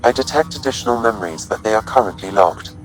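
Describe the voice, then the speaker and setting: A man voicing a deep, calm ship AI. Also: faint music behind, natural voice, low-quality recording. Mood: analytical. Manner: even, measured, analytical. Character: calm male ship AI, curious and precise. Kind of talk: video game voice acting.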